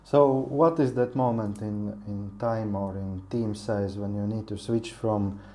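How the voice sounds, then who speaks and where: A man's voice: lowish voice, fairly even intonation, a little slow, mellow. A man hosting a business podcast, asking thoughtful, hesitant questions with frequent restarts. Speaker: podcast host. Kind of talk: interview questions.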